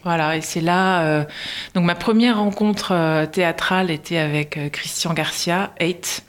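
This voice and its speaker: Actress guest from Nice, lowish voice, halting, chatty, laughs a lot. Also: female voice, fairly even intonation. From Nice, France. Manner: hesitant, many fillers and restarts, laughs often. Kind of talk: interview answers.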